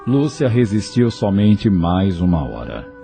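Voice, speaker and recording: A man narrating an audio novel in a measured, expressive storytelling way. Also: on the low side, vocal fry, music in the background.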